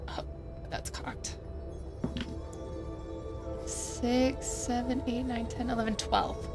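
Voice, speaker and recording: Unhurried higher-pitched woman over music. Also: level tone. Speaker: tabletop game player. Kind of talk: casual game chat, thinking aloud. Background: clearly audible background music.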